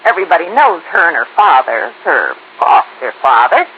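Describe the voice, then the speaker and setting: A woman's voice: deep voice, sing-song, normal speed. A woman playing a resentful, prying housekeeper with sly, sarcastic, folksy speech in an old-time radio drama. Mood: sarcastic, accusing. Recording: dull, hissy old radio recording.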